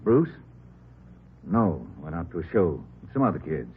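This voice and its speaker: Hostile stepfather, lowish voice, curt, gruff, combative, old radio crime drama, muffled recording with faint noise. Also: sing-song, a little slow. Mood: guarded. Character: surly, defensive nursery owner, hostile stepfather with guard dogs.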